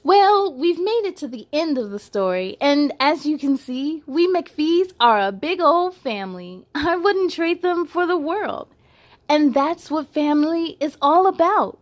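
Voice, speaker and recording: Female children's read-aloud host, high voice, animated and playful storytelling delivery. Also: a little slow. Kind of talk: expressive read-aloud for children with chatty asides.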